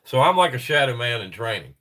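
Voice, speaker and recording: A man's voice: mid-range voice, big pitch swings, fast talker, fairly bright tone. Stuffed-up middle-aged guy hosting a livestream, rambly, chuckles a lot, keeps restarting sentences. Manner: rambling, chuckling, self-interrupting. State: congested, stuffy nose. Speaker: livestream host. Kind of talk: casual livestream chat, reading reports aloud.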